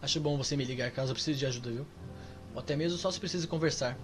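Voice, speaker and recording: Caring young woman friend, read by a man, gentle and reassuring, quick pace. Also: medium pitch, faint music behind, natural voice.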